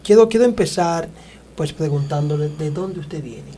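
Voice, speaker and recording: Mellow-voiced male evangelist host. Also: a little high, lively intonation, normal speed, a little hiss or hum. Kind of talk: church interview hosting.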